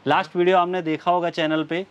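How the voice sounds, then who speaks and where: A middle-aged shop owner showing sarees in his store, with a salesman's pitch, recorded on a lapel mic. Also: flat delivery, a little fast, dull audio. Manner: salesman's pitch, addresses viewers as friends. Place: large saree store indoors.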